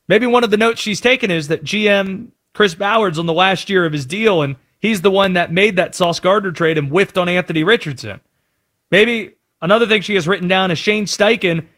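A man with an American accent hosting sports talk in an emphatic, animated way, often asking rhetorical questions. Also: sits high. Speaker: sports talk show host.

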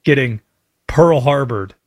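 A man with an American accent hosting sports talk in an emphatic, animated way, often asking rhetorical questions. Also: mid-range voice, slow. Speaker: sports talk show host.

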